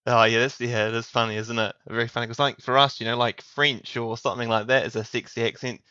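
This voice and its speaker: A man's voice: medium pitch, flat delivery, fast, bright, forceful tone, smooth, no room sound, lo-fi sound. A man in his thirties with a New Zealand accent, chatting casually as a podcast guest. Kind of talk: casual interview chat.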